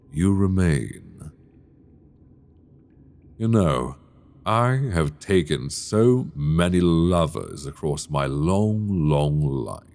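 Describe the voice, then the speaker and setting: A man's voice: extremely low pitch, creaky, heavily acted. A man playing an imprisoned mad dragon king, seductive, obsessive and menacing, in an intimate, teasing, theatrical ASMR roleplay delivered close to the mic. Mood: sultry, obsessed. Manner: theatrical, teasing, drawn-out delivery with soft asides. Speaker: audio roleplay voice actor. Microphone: close binaural microphone.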